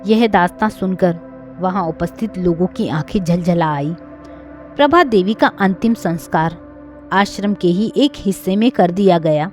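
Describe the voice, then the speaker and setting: Female storyteller, expressive scripted narration, lively varied intonation, sentimental moral tale, soft music behind. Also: medium pitch.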